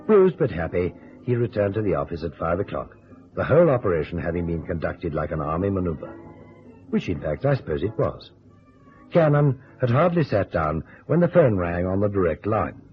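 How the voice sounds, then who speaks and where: An actor playing a fretful, dryly authoritative detective agency chief in an old-time radio drama. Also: male voice, deep voice, big pitch swings, brisk, faint music behind. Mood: measured narrating. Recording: muffled, noisy vintage radio recording.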